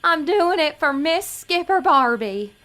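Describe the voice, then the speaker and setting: Woman playing a slow, high-voiced, earnest pageant girl. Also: expressive, a bit bright. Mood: prim, earnest. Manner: earnest, prim, overwrought. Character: naive, earnest, melodramatic young pageant contestant woman. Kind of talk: audio drama acting.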